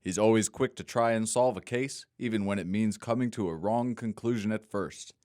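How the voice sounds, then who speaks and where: Lowish-voiced male story narrator, dry sound. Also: normal speed, a little mellow, dry recording.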